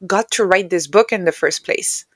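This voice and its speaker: A woman who is not a native English speaker talks as a podcast guest, in a reflective, conversational way. Her voice sits a little low for a woman. The sound is very dry, with no room around it. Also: moderate pace, fairly bright tone, no echo. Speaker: podcast guest, writer and neuroscientist.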